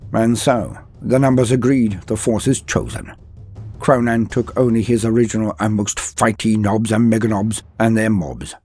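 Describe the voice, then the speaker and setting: A man's voice: on the low side, a little mellow, breathy edge. A man narrating a comedic mock-epic story with archaic phrasing and joking asides.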